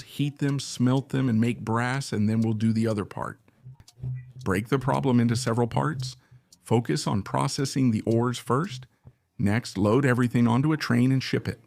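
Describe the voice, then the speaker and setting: A man, hoarse from being sick, giving casual gameplay commentary and thinking aloud as he plans and second-guesses his build. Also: fairly low pitch, darkish tone. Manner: thinks aloud, unsure and musing. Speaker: gaming channel host.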